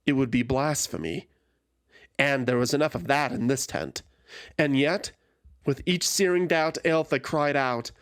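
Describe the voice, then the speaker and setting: A narrator reading a scripted fantasy tale in a dramatic, measured and solemn way. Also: mid-range voice, no room sound, clean studio sound.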